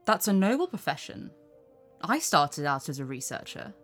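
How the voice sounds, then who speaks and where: A woman's voice: low voice, very animated, moderate pace, faint music behind. A woman voicing a jaded celebrity TV presenter in an audio drama, dry, offhand and cynical. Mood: wistful.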